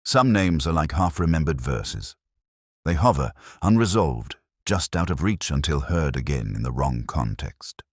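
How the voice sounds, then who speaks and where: A man playing an ageing, wry, brooding detective inspector who narrates a scripted mystery in measured, literary phrases. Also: a bass voice, lively intonation, breathy edge, no echo, studio quality, full character voice. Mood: brooding, reflective.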